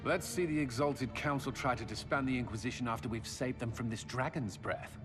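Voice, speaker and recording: A man's voice: medium pitch, fairly even intonation, fairly quick, lightly acted. Man playing a dry fantasy companion, echoing hall. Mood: grim, sardonic. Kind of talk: dramatic video game dialogue. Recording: long hall reverb under music.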